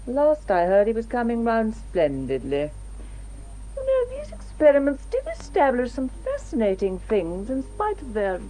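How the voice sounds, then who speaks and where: An actress playing a composed, professional woman doctor in a radio drama, speaking in a measured, polite way. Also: a little high, dark tone, poor audio. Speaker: actor.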